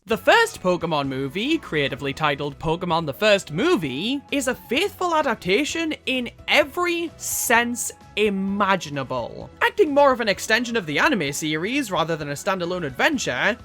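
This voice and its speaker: A woman's voice: a little high, a bit bright. A woman delivering scripted video essay commentary as a reviewer, opinionated and emphatic in a casual way.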